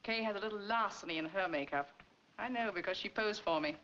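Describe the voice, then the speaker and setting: A woman's voice: medium pitch, brisk, a bit bright, lightly acted. An actress in her thirties playing a spirited, teasing, no-nonsense painter in a 1940s film. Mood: wry. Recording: roomy, dull, faintly noisy old film soundtrack.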